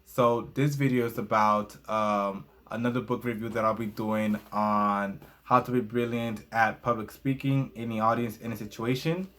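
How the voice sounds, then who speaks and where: A man's voice: fairly low pitch, steady tone, moderate pace, hardly any pauses, a bit bright. A young man whose first language is Spanish reviews a book in a casual vlog, hesitating often with fillers and glancing at his notes.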